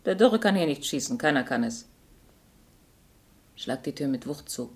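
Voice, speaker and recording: A woman's voice: low-pitched, animated, normal speed, a little mellow, husky. Curt, bossy young gangster woman. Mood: commanding. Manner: curt, commanding. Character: tough, bossy young female crook, cool and sharp. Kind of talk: noir radio drama acting.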